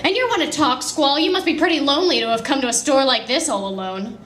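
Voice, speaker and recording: A woman's voice: sits high, fast, a bit bright. A woman voicing an indignant, dramatic female shop clerk with a theatrical, haughty delivery in a comic fan fiction reading. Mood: saddened, then taunting. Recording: echoey room with faint background noise.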